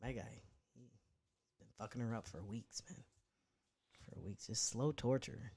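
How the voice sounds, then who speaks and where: A young man chatting loosely with his live-stream viewers, swearing often and drifting from thought to thought. His voice sits in a medium range, neither deep nor high. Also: a little slow, vocal fry, a little mellow, slightly rough. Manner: rambling, loose and profane, talking to chat. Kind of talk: casual live-stream chat.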